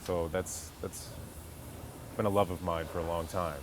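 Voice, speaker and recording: A man's voice: deep voice, little pitch movement, moderate pace. An American man from Connecticut telling stories as a stage actor in a casual outdoor interview. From Connecticut, United States. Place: outdoors on a golf course. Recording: clear outdoor background noise, vehicles.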